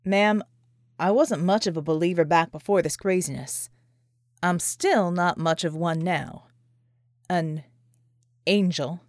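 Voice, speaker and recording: Woman voicing a gruff, skeptical American man. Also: high voice, slow, long pauses, no room sound, studio quality, voice pushed down. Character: skeptical, wary American man with lost memory.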